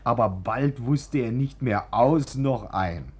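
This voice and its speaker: Husky, wry storyteller. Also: male voice, mid-range voice, slow, darkish tone. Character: wry, gossiping male storyteller. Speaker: narrator. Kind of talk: 1940s radio storytelling.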